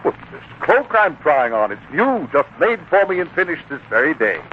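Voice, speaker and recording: An actor playing a proud, petty, easily offended employer with a pompous, indignant manner in a 1940s radio drama. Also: male voice, fairly high pitch, sing-song, normal speed. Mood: self-satisfied, hearty. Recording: tinny, noisy 1940s radio broadcast.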